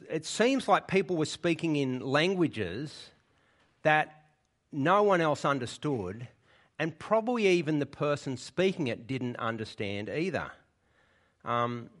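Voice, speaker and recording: A man preaching a sermon in church, explaining the passage in a conversational way and often checking in with his listeners. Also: fairly high pitch. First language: English.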